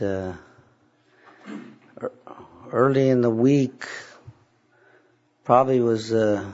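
A man with a raspy, hoarse voice leading a church service, talking casually and haltingly with many fillers. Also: on the low side, darkish tone. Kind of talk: casual talk from the pulpit. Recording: dull lo-fi church recording.

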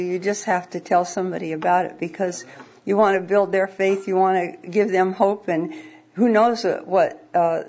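A woman's voice: medium pitch, a little mellow. A woman from the congregation sharing a personal testimony, telling her story earnestly and plainly with some fillers. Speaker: congregation member giving a testimony. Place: church service. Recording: dull lo-fi church recording.

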